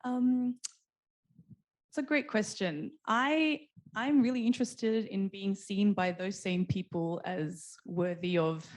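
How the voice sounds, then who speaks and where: A woman's voice: fairly low pitch, a little mellow. Thoughtful woman on a panel. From Australia. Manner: thoughtful, many fillers and restarts. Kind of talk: panel discussion.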